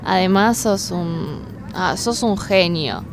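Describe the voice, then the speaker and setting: A woman's voice: lowish voice, slow pace, noisy background. A woman playing a naive, affectionate young woman in a comedy radio drama, guileless and questioning, with an Argentine accent. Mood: gentle, naive.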